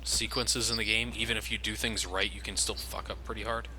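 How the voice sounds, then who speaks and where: Low-voiced sweary man commentating over gunfire. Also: flat delivery, a little fast, a little hiss or hum, poor audio. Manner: sweary, informal, explanatory. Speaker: let's play commentator. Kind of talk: casual game commentary. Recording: loud game gunfire behind voice. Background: video game gunfire and action.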